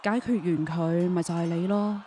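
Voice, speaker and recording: A woman's voice: fairly low pitch, fairly even intonation, moderate pace, dark tone, lightly acted. A woman playing a cold-blooded young assassin princess in a Chinese radio drama, cool, detached and theatrical. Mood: menacing. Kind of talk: Chinese radio drama acting with first-person narration. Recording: roomy long reverb, faint music behind.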